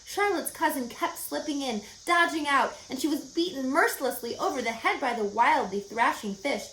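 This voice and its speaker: A woman's voice: high voice, expressive, normal speed, fairly bright tone, pitched above the performer's own voice. A woman voicing an imaginative, earnest little farm girl who tells her storybook tales eagerly and insistently. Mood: excited, vivid. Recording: echoey room, faint background noise.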